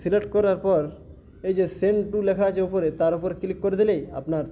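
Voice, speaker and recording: A man's voice: sits high, steady tone, brisk, dark tone. A man giving a step-by-step spoken app tutorial in an instructional, repetitive way. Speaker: tutorial presenter.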